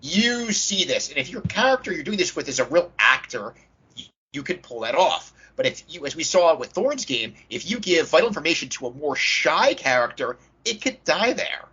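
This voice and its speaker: A man with a husky, bright-edged voice casually discussing tabletop games as a podcast co-host and game master. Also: on the high side, very animated, normal speed. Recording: dull lo-fi audio.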